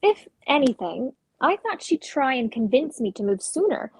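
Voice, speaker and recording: A woman's voice: high voice, very animated, moderate pace, slightly rough, dry recording, exaggerated voice, poor audio. A woman playing a guarded, conflicted sister, earnest and defensive, in a dramatic play reading. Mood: hurt, guarded.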